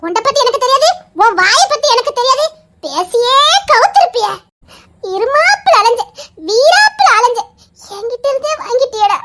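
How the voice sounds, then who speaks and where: A fiery young sweetheart, acted by a woman, scolding and threatening her lover but laughing through it. She speaks in an extremely high, piping voice in a lively amateur drama. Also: fairly quick, background noise.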